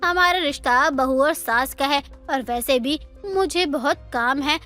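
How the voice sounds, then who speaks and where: A woman's voice: high-pitched, a little slow, pushed and bright. A woman voicing a lazy, insolent young daughter-in-law who argues back in a moral story cartoon. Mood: insolent refusal. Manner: defiant, argumentative. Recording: music under the voice.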